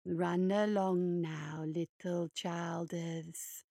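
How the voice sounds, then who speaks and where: A woman's voice: lowish voice, flat delivery, drawn-out words, creaky, mellow, no room sound, clean studio sound, voice pushed down, heavily acted. A woman voicing a huge, hungry, blood-soaked male hare monster who murmurs in broken grammar.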